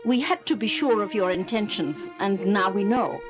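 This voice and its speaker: An actress playing a composed, authoritative alien ship's official, formal and assured, in an audio drama. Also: female voice, medium pitch, normal speed, lightly acted. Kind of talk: 1970s audio drama acting. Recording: loud music behind the voice.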